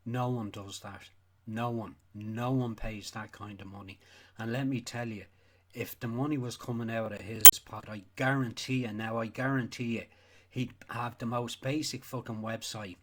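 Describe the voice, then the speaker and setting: A man with an Irish accent delivering an unscripted, opinionated rant as a stream host, blunt and sweary, repeating phrases for emphasis. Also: fairly low pitch. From Ireland. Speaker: livestream host.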